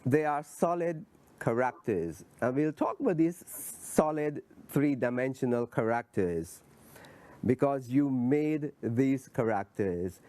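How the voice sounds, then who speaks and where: A man hosts an interview in an unhurried, deliberate way, often saying a phrase twice before handing over. His voice is mellow and dark, at an ordinary male pitch, and his pace is very slow. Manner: deliberate, repeats phrases, many affirming murmurs. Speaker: interviewer, host. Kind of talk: interview questions.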